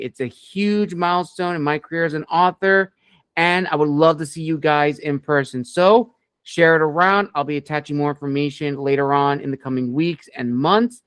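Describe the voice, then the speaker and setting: A man hosting an interview show with formal, measured announcer-like phrasing, addressing his audience directly. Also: a little high, smooth, no echo. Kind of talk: scripted-sounding show hosting.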